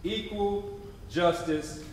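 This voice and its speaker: A man narrating a scripted readers theatre piece in a deliberate, emphatic storytelling way. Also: high-pitched, slight background noise. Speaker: stage narrator. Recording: very echoey room, distant mic, responsive audience.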